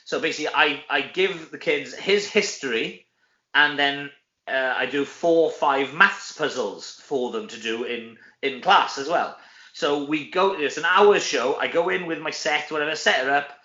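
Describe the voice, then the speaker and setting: Male, middle-aged, Welsh. Chatty, informal interview guest with a forward, bright tone; roomy, lo-fi sound. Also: fairly high pitch, normal speed. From Wales. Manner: chatty, informal, frequent tag questions. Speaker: guest, actor. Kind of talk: casual interview chat.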